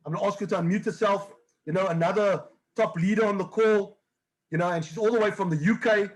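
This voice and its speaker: Man hosting a motivational video call, encouraging, pitched high. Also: quick pace, poor audio. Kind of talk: motivational presentation.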